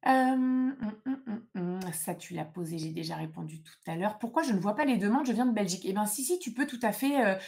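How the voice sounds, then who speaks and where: A woman's voice: mid-range voice, lively intonation, brisk, gravelly, hardly any pauses, darkish tone. Female live-session host, casual delivery, direct and emphatic adviser, mid-range voice. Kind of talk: casual informal live Q&A, explaining procedures.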